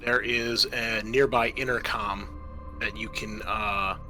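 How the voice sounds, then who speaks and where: Sharp, forceful man running a game. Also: lowish voice, fairly even intonation, takes it slowly, faint music behind. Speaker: game master. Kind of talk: narrating and ruling a tabletop roleplaying game.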